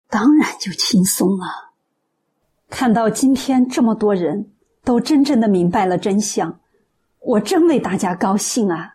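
A woman acting a kindly, earnest middle-aged auntie in a radio drama, speaking in gentle, encouraging praise. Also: mid-range voice, breathy edge.

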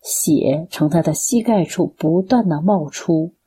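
Mellow, lowish-voiced woman narrator. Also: measured pace, slightly rough. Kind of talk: scripted radio drama narration.

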